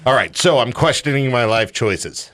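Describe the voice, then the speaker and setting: A man's voice: mid-range voice, animated, normal speed, a bit bright, no room sound. A man hosting a radio show, chatting casually in a joking, teasing way. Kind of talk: casual chat.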